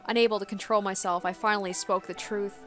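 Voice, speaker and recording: A woman with a medium-pitched voice gives a dramatic reading of a first-person story. Faint music runs behind her narration. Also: fairly even intonation, fast talker, a bit bright. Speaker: gaming video host.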